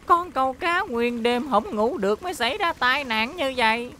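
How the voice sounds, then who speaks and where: A woman's voice: high voice, animated, moderate pace, pushed and bright, a little hiss or hum. A woman voicing an earnest, eager young boy in a Vietnamese cartoon dub. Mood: apologetic, earnest. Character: earnest, eager young boy who loves his teacher.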